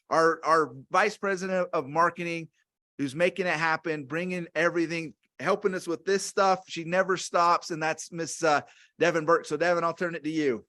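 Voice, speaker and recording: A man's voice: a little high, bright, forceful tone, no room sound. Upbeat man hosting a company call, dry sound. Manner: upbeat, effusive. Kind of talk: hosting and introducing speakers.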